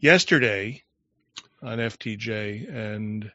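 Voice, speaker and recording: A man's voice: on the low side, slow, sharp tone, dry recording, muffled recording. A man hosting a live online show in casual chat, loose and rambling, often trailing off mid-sentence.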